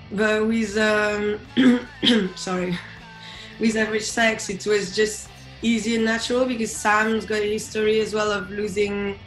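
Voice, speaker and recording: Young woman, French accent, even pitch, echoey room, music behind, casual interview chat. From France. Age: young adult. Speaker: band singer being interviewed. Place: indoor room by window, video call. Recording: roomy echo, music behind.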